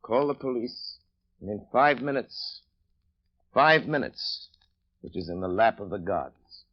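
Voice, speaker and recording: An actor playing a calm, confident male gentleman detective, brisk and assured, in a 1930s radio drama. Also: fairly low pitch, no echo. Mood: determined. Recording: dull old radio recording.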